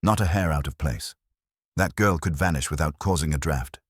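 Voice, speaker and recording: A man's voice: a bass voice, normal speed, husky, no room sound, clean studio sound. A man voicing a prim, sniffy, guarded English landlady who talks in clipped fragments in a mystery story. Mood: clipped, matter-of-fact. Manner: clipped, terse fragments, haughty. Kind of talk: dramatised mystery audiobook.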